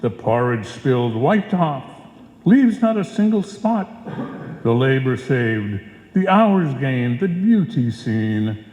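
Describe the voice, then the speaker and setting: A man's voice: mid-range voice, very animated, slow, a little mellow. A man playing a pompous, hammy salesman who declaims like a Shakespearean actor in an old-time radio comedy. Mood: grandly declaiming. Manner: theatrical, pompous, grandly declaiming. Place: live performance in a reverberant hall. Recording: echoey hall, dull live stage sound.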